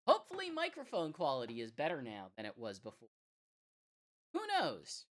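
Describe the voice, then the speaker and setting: A woman's voice: low-pitched, wildly swooping pitch, moderate pace. A woman hosting a casual livestream, half talking to herself as she works through things and half chatting to viewers. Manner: muttering to self while troubleshooting. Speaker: livestream host.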